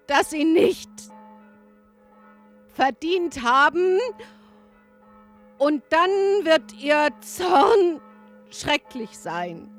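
An actress playing a grave field reporter in a radio play, delivering a solemn, dramatic news report on a catastrophe. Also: female voice, sits high, heavily acted. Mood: ominous, dramatic.

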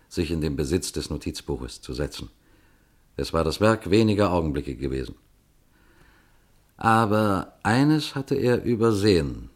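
Detective, very deep voice, measured, talks to listeners, radio play narrator. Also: male voice. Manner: measured, addresses listeners directly. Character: calm older male police detective.

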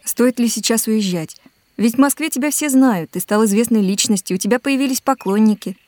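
An actress playing a caring, sensible woman pleading in an audio drama, earnest and gently reproachful. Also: on the high side, a little fast, dry recording, natural voice. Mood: concerned, coaxing.

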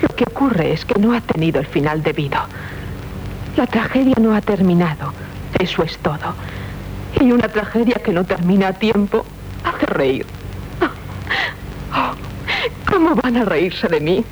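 Actress as bitter passionate noblewoman, Castilian accent, solemn theatrical declamation, very expressive pitch, faintly noisy vintage radio recording. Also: a little slow, long pauses, lightly acted. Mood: bitter irony. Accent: Castilian Spanish. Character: proud young noblewoman, tormented, bitter, passionate. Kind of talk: 1970s Spanish radio drama acting.

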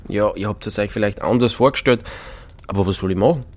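An actor playing a matter-of-fact rural forester with an Austrian accent and a plain, unfussy, dialect-tinged way of talking. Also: male voice, fairly low pitch, quick pace, a little mellow. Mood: easygoing. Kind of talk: dramatic dialogue. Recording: clear music behind the voice.

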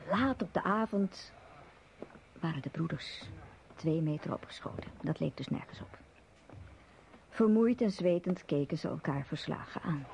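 A woman narrating a radio play in a measured storytelling manner. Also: low-pitched, animated, vocal fry, a little mellow, slight background noise. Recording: muffled radio play recording.

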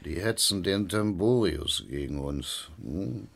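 An actor playing a harried, scheming official in a radio drama, giving clipped, commanding instructions. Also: male voice, low-pitched, lively intonation, takes it slowly, gravelly, fairly bright tone, slight background noise, full character voice.

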